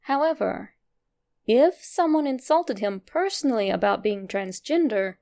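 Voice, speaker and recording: A woman narrator delivers a scripted reading. Her voice sits on the higher side and carries a clearly gravelly, creaky fry. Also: lively intonation, unhurried, warm tone. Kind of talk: scripted narration of a fan theory.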